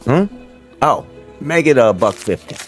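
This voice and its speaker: An actor playing a sardonic American bartender in a vintage radio drama, slangy and dryly teasing. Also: male voice, mid-range voice, animated, a little slow, faint music behind, lightly acted. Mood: friendly ribbing. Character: wisecracking, sardonic bartender at a neighborhood joint.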